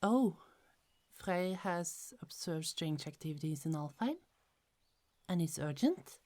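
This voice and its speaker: A low, mellow female voice, as a woman acts it out, plays a gentle goddess who guards her precious apples. She speaks softly and earnestly and often stumbles before she finds her words. The tone stays warm and never turns sharp. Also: expressive, slow pace. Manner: soft, earnest and a bit hesitant, with small stumbles. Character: gentle young goddess keeper of golden apples. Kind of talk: ASMR audio roleplay.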